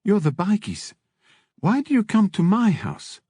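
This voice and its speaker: A man voicing a brisk female brothel madam from an audiobook, courteous but pointed and full of questions. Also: high-pitched, wildly swooping pitch, dark tone, husky, dry recording, clean studio sound, voice pushed up, heavily acted. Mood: probing, pointed. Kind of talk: audiobook character dialogue.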